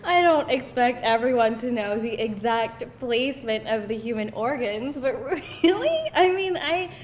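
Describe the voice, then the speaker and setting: A woman's voice: on the high side, a little slow. A woman acting a candid, wry young woman telling stories in a live screenplay reading. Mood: exasperated, amused. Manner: animated, hesitant storytelling peppered with laughter. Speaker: actor at a screenplay table read. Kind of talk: conversational live script reading. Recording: echoey room with faint noise.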